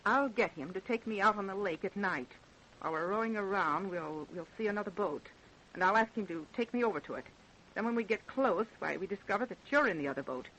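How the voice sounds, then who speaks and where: An actress playing a scheming, impatient wife in a radio drama, speaking with insistent, scornful urgency. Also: female voice, medium pitch, animated, fairly quick, fairly bright tone, slight background noise, natural voice, low-quality recording. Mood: coldly scheming. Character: scheming, impatient wife plotting her husband's murder. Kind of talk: old-time radio drama acting.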